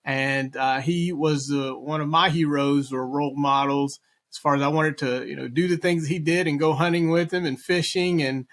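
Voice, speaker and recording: Measured middle-aged man from North Carolina. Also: fairly high pitch, steady tone, a bit bright. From eastern North Carolina. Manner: measured, with frequent "uh" and "you know" fillers. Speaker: guest, business consultant. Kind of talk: conversational interview.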